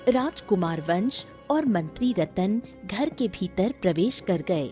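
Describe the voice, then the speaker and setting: A woman's voice: mid-range voice, animated, takes it slowly, faint music behind. A woman narrator reading a scripted children's story in a storybook style.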